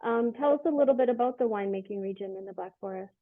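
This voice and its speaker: A woman's voice: fairly high pitch, fast, dry recording. Woman host on a tinny, phone-like line. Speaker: interviewer and tour company host. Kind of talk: conversational promotional interview. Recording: tinny phone-like call audio, dry.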